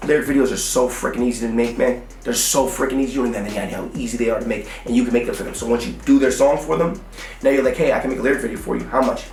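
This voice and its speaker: A man's voice: medium pitch, very fast, vocal fry, a little mellow, recorded from a distance. An American man giving a blunt, emphatic motivational how-to talk as a music business coach, with slangy, repetitive hustler phrasing. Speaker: music business coach and online course seller. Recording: roomy echo, slightly off the mic.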